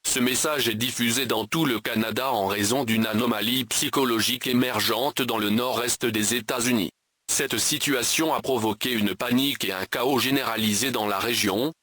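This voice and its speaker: Man, dry emergency-alert read, flat and formal, mid-pitch. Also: a little fast, dry recording. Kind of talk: official emergency broadcast announcement.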